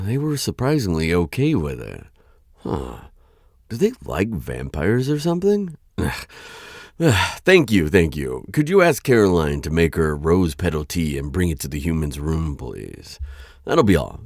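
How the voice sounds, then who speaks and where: A man playing a conflicted vampire lord in an ASMR roleplay, aloof yet courteous and softening, with a hesitant, stammering, musing delivery. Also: wildly swooping pitch, no echo, studio quality, full character voice. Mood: relieved, pensive. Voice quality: very deep, gravelly, husky voice. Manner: hesitant, stammering, musing with sighs and trailing pauses. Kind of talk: ASMR roleplay audio drama acting.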